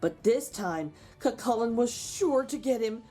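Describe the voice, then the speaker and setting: Animated higher-pitched woman reading a storybook. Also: measured pace, faint music behind. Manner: animated, dramatic emphasis. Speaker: storyteller reading a picture book aloud. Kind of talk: expressive read-aloud for children with chatty asides.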